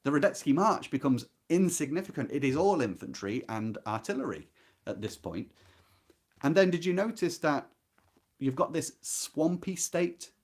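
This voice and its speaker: A man's voice: a little high, big pitch swings, moderate pace. A man hosting a literary readalong, reading and explaining with engaged emphasis, repeated key words and rhetorical questions. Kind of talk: book discussion with expressive read-aloud passages.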